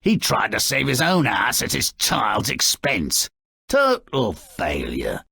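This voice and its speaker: An actor playing a small, malicious, sardonic storybook grouch in a video game, raspy and gravelly, narrating dark fairy tales with theatrical, sneering mockery. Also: male voice, medium pitch, wildly swooping pitch, slow pace, no echo, studio quality, exaggerated voice. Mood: sardonic storytelling. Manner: theatrical, sneering, mocking, ornate vocabulary.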